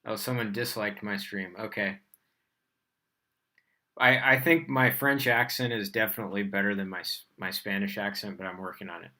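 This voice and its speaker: A man's voice: fairly low pitch, steady tone, moderate pace, sharp tone. A man teaching physics on a casual livestream, chatting with fillers and laughing at himself as he tries out beginner Spanish. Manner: chatty, rambling, with frequent fillers.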